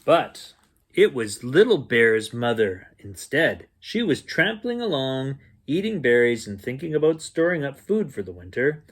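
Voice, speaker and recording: A man reads a children's bedtime story in a husky voice. His pitch moves around a lot, giving the storybook narration an expressive, playful shape. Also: a little slow. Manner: expressive, acts out sounds and dialogue. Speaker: storyteller reading a children's picture book. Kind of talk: animated bedtime story reading with playful asides.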